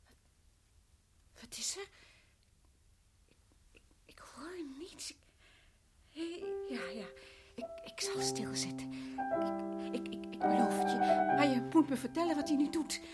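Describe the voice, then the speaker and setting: Radio horror: fragile, haunted mother, high voice, halting and breathy, loud piano music behind. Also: wildly swooping pitch, heavily acted. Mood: anxious, breathless. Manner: halting, repetitive, often breathy and whispering. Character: fragile, terrified mother slipping into hallucinating madness. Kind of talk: radio horror drama acting.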